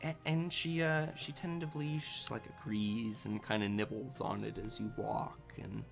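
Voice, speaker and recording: A man narrating an improvised tabletop game as game master in a casual, halting way. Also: lowish voice, fairly even intonation, vocal fry, faint music behind. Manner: casual, halting, filler-heavy.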